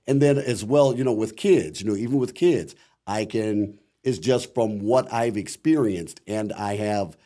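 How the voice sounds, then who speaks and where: A middle-aged man with a deep voice and a Midwestern American accent, chatting reflectively as a voice actor guest in an interview. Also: measured pace, few pauses, warm tone. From Akron, Ohio, USA. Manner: rambling, many "you know" fillers, reflective. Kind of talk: casual interview talk.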